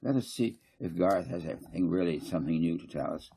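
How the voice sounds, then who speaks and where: A man reading a story, voicing a reasonable but defensive small-town mayor in a measured, questioning way. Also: deep voice, animated, vocal fry, mellow, slightly rough, exaggerated voice.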